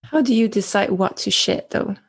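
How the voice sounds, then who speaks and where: Female interviewer, medium pitch with marked creak, casual conversational questioning. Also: normal speed. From East Malaysia.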